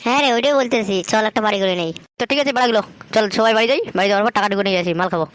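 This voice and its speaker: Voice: mid-range voice, racing, a bit bright, no room sound, close to an everyday voice. A performer with a Bengali accent voicing foul-mouthed, teasing young friends in slangy comic cartoon banter. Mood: rowdy.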